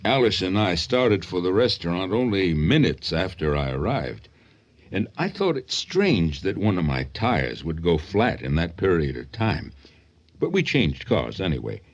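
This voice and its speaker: An actor playing a steady man in a radio thriller, with a measured, dramatic delivery. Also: deep voice, highs cut off, exaggerated voice. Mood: intent. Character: steady man recounting his narrow escape.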